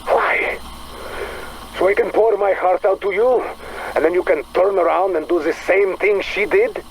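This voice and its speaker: A man's voice: high-pitched, a little slow, a little hiss or hum, poor audio. An actor playing a guarded, bitter Russian man, speaking with a Russian accent and a curt, intense manner in a game drama. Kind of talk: dramatic game dialogue acting.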